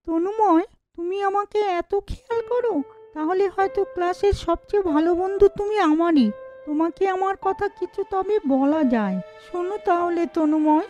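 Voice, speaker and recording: A quiet, studious schoolgirl played by a woman in a moral cartoon, earnest and plaintive. Her voice is high, and the recording is slightly dull and lo-fi with music clearly behind it. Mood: touched. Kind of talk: children's moral cartoon voice acting.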